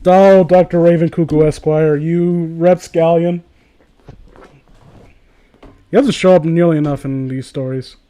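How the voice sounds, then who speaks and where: A man's voice: on the high side, takes it slowly, long pauses, mellow. A man co-hosting a casual comedic read-aloud, sarcastic and freely swearing as he riffs on the story. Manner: sarcastic, profane. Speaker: co-host reading and mocking fan fiction.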